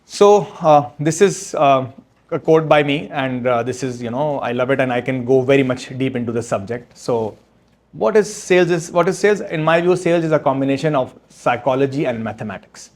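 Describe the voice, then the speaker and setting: A man speaking as an author at a book launch, answering audience questions in a halting, step-by-step way with frequent restarts. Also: mid-range voice, lively intonation, a little mellow. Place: event room with audience.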